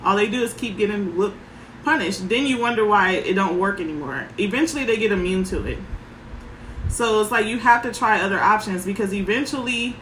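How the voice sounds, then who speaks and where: A woman parenting coach with a soft voice, giving frank advice in short, emphatic sentences. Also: mid-range voice, fairly quick, roomy sound, a little hiss or hum, poor audio. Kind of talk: casual advice talk.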